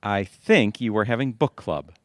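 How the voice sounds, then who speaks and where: An actor playing a wry, sarcastic suburban husband in an audio comedy. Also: male voice, on the low side, expressive, moderate pace, soft background music, close to an everyday voice. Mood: dry. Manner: dry, sarcastic. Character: Richard, wry suburban husband, sardonic and exasperated. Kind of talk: audio comedy drama acting.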